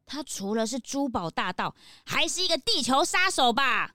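A woman playing an excitable, outspoken young detective's sidekick in a children's audio drama, cute, dramatic and exclamatory. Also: high-pitched, moderate pace, no room sound, clean studio sound, full character voice. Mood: shocked, indignant. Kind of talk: children's radio drama acting.

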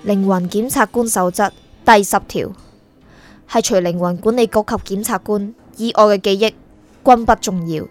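A woman's voice: mid-range voice, fairly even intonation, moderate pace, faint music behind, natural voice. A woman playing a dutiful young soul prosecutor in a radio drama, clipped, rule-bound and defensive in manner. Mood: defensive, impatient. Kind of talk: Cantonese radio drama acting.

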